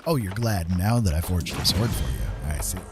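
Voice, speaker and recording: Lowish-voiced man casually streaming a game. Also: animated, brisk, over music. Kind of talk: casual live gameplay commentary.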